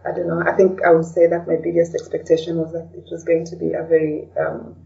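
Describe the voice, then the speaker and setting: A woman from Kenya speaking as a podcast guest in a casual, reflective way, often pausing to search for words. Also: lowish voice, completely flat delivery, gravelly, dark tone. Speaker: interview guest, blogger and podcaster. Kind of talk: casual conversational interview. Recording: distant, dull lo-fi call sound.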